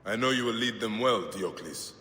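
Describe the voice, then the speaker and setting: An actor playing a grave, compassionate male Egyptian investigator in a video game, speaking in a measured, brooding way. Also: on the low side, noisy background, close to an everyday voice. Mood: calm, reassuring. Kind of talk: video game acting, part dialogue, part musing aloud.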